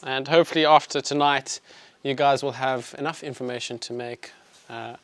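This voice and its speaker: A man's voice: mid-range voice, a bit bright, no echo. A man in his thirties with a South African accent, a chiropractor giving an evening health presentation to an audience in a friendly, conversational way with frequent fillers. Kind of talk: public health talk to an audience. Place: clinic presentation room.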